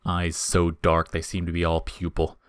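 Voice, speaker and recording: Male narrator, deep register, scripted dramatic storytelling, dry studio-close sound. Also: completely flat delivery, moderate pace, clear, resonant tone, no room sound.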